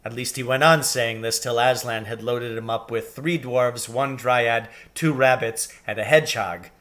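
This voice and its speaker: A man reading a children's story aloud with expressive, deliberate and dramatic phrasing. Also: mid-range voice. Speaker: reader, teacher reading aloud.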